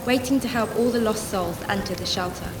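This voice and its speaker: Actress as a caring shelter volunteer, roomy sound, music. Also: female voice, medium pitch, a little fast, far from the mic, lightly acted. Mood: reflective, concerned. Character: compassionate, troubled woman volunteering at a homeless shelter. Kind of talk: first-person radio drama narration and dialogue.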